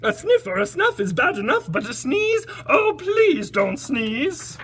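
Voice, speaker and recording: A pitiful, indignant storybook bear, voiced by a woman. He whines loudly in emphatic rhyme, raised in pitch and very animated. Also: a little slow, heavily acted. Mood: aggrieved pleading. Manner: loud, whining, emphatic rhyme. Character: indignant, pitiful bear bemoaning his lot. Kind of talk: animated picture-book read-aloud.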